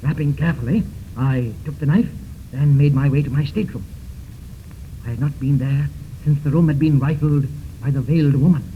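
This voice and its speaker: A man's voice: on the high side, fairly quick, long pauses, natural voice. An actor playing a tense, resolute detective who narrates his case theatrically in a 1930s radio mystery serial. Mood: intent, deducing. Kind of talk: 1930s radio drama first-person narration. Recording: muffled, noisy 1930s radio recording.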